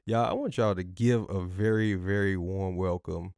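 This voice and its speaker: Male podcast host, low voice, dry close sound, loose and playful, repeats himself. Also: fairly even intonation, normal speed, vocal fry, darkish tone, dry recording. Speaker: podcast host and relationship coach. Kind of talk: casual podcast interview.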